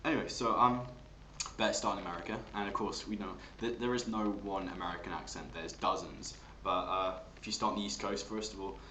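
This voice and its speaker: Low-voiced Englishman in an echoey room. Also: steady tone, fairly quick, pushed and bright. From England. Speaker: amateur voice actor. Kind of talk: casual chat introducing accent impressions. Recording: roomy, noisy home recording.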